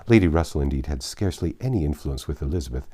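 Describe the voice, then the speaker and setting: A man reading a classic novel aloud as an audiobook narrator, in a measured, formal delivery. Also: very deep voice, animated, warm tone.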